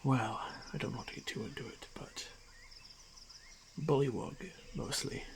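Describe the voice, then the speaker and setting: A man voicing a stoic, protective orc warrior in a fantasy ASMR roleplay, speaking in terse, measured phrases. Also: medium pitch, slight background noise, lightly acted. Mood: matter-of-fact, explaining. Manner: measured, halting, terse phrases with solemn pauses.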